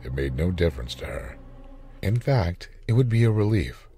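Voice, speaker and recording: A man narrates a short story in a deep voice with a soft, dark tone. His reading is dramatic and expressive, with wide swings in pitch, over faint music. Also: normal speed, a little hiss or hum.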